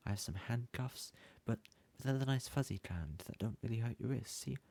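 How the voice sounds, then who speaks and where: A man's voice: medium pitch, fairly quick, vocal fry, warm tone. A man playing a bumbling, lovesick young yandere student in an ASMR roleplay, pleading hesitantly and stammering as he speaks to the listener.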